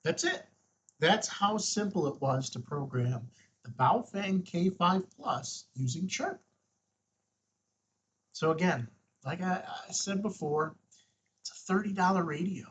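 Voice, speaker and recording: Husky-voiced man giving a casual tutorial. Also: high voice, animated, unhurried, pauses often, a bit bright, muffled recording. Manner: informal, thinking aloud. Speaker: host, ham radio tutorial presenter. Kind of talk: casual step-by-step tutorial talk.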